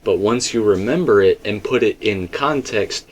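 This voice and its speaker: Man rambling through theories, lowish voice, noisy recording. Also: moderate pace. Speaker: co-host discussing video game theories. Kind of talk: casual, rambling fan theory discussion.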